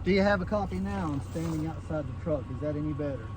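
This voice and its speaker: A man's voice: fairly high pitch, fast talker. A man talking casually to camera in a plain-spoken, folksy way, as host of a field test. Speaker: host of a gear review video. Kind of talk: casual chat to camera while field testing walkie-talkies. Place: outdoors and inside a truck. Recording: noisy outdoor and in-truck recording. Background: vehicle and outdoor noise.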